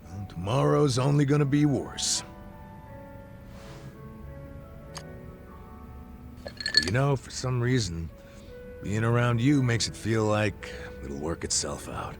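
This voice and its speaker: An actor with an American accent playing a gruff, boisterous, good-humored older ex-cop in a video game, with brash, colloquial delivery. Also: male voice, mid-range voice, lively intonation, a little slow, over music. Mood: friendly, cajoling. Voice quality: gravelly. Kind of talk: dubbed video game cutscene acting.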